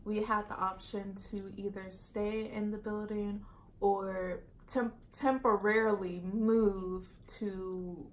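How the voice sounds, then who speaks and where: Young woman, roommate guest, storytime chat, laughs easily, faint hiss. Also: medium pitch. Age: young adult. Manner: laughs easily, quick excited talk.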